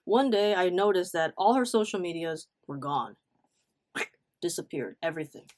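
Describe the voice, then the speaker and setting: A woman talks casually, as if telling a long story to friends online. She is blunt and slangy, often breaking off for asides. Her delivery is animated and conversational. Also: on the low side, normal speed. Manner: animated, blunt, swearing, acts out quotes. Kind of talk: casual story time vlog.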